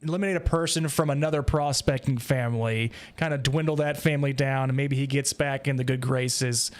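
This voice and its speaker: A man hosting a podcast, casually recapping and discussing a story in an animated way. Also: medium pitch, fairly quick. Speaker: podcast host.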